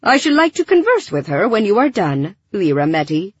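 A woman's voice: mid-range voice, sing-song, normal speed, breathy edge, dry recording, lo-fi sound. A woman voicing a literal-minded male robot in an audiobook, speaking in a stiff, precise, wordy way. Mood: earnest, formal.

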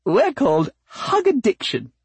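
A woman voicing a female alien rose bush in an audiobook, with a breathy, sweet voice and a polite, wheedling, nervous manner. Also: on the low side, huge pitch swings, a little slow, a little mellow, no room sound, voice pushed up, exaggerated voice. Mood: chirpy, nervous.

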